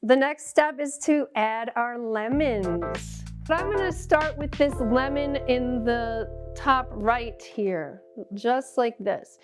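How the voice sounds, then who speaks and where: A woman's voice: fairly high pitch, animated, slow pace, soft background music. A middle-aged woman hosting and teaching a step-by-step drawing lesson, patient and encouraging. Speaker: art teacher and show host.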